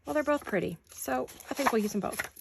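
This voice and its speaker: A woman with a sore throat chatting casually to viewers while she crafts at home, thinking out loud as she works. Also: fairly high pitch, lively intonation, quick pace, vocal fry, noisy background. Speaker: craft vlogger. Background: scissors and crafting clicks.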